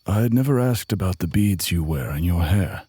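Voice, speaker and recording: Man acting a deep, dark-voiced worldly traveler. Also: expressive, husky, no echo, clean studio sound. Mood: composed. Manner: formal, measured phrasing. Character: worldly, genial male traveling companion, fond of food. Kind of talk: fantasy audio drama acting.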